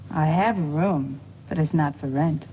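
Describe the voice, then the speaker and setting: A woman's voice: low-pitched, unhurried. Cool, dry-witted noir woman. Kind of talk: 1940s film noir acting. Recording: muffled old film soundtrack with hiss.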